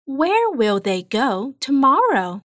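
A woman reading an English listening test in a slow, carefully spaced delivery. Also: high-pitched, very animated, a bit bright, no room sound, clean studio sound. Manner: clear, carefully spaced words. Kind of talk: slow, deliberate exam reading.

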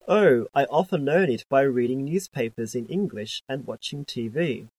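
A man reads exam dialogues in a measured, plain textbook manner. His voice is mid-pitched, and the close recording is dry with no room sound. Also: expressive, darkish tone, no echo, rough recording. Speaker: dialogue reader on a listening test. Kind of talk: scripted reading of exam dialogues.

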